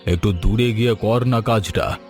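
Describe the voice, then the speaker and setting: Man playing a low-voiced, pompous old landlord. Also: unhurried, darkish tone, soft background music. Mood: scornful. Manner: pompous, scornful, threatening. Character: haughty, contemptuous older rich landlord, a grandfather. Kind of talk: scripted cartoon dialogue.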